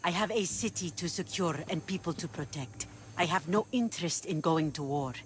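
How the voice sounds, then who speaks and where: A woman's voice: fairly low pitch, moderate pace, slight background noise, natural voice. An actress playing a shrewd, confident woman pirate leader who speaks in a commanding, dry, bargaining way in a game cutscene. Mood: cool. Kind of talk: video game cutscene acting.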